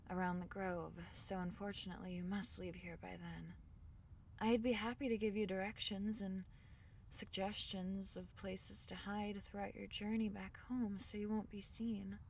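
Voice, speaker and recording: A woman voicing a tiny, caring fairy in a soothing ASMR fantasy roleplay, speaking softly in slightly formal storybook phrases. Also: mid-range voice, creaky, far from the mic, slight background noise, close to an everyday voice. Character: tiny gentle female fairy, caring and protective.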